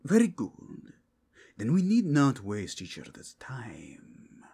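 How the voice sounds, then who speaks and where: Man voicing a breathy, imperious werewolf cardinal. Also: medium pitch, wildly swooping pitch, dark tone, pitched above the performer's own voice, heavily acted. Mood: stern, deliberate. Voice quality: breathy, whispery. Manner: formal, slow, deliberate rhetorical questioning. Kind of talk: ASMR fantasy roleplay.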